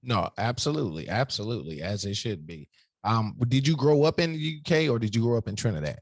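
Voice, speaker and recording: A man's voice: fairly low pitch, lively intonation, quick pace, no echo. A man hosting a casual podcast interview, asking easygoing questions and giving short encouraging replies. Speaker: podcast host.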